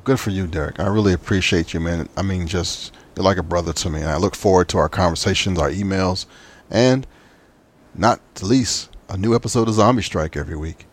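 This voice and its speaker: A man's voice: sits low, fairly quick. A man with a Southern US accent hosting an interview in a casual, informal and appreciative way. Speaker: podcast host and interviewer.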